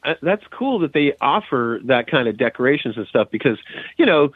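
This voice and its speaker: A man of average pitch chats as a podcast guest over a thin, phone-like connection. His manner is colloquial and enthusiastic, and the line is dry, without room sound. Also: sing-song, a little fast, slightly rough, no echo. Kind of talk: casual podcast chat about horror collectibles. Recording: tinny, narrow phone-like call line.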